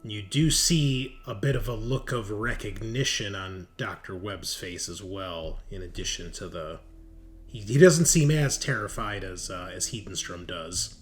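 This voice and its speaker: A man narrating a tabletop game as game master, improvising haltingly as he describes the scene. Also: lowish voice.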